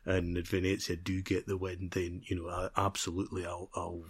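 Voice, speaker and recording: A man with a fairly low voice talks football as a podcast co-host. He is casual and rambling, restating phrases while he works toward his point, with a wry, teasing edge. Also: completely flat delivery, normal speed, husky. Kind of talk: casual sports chat.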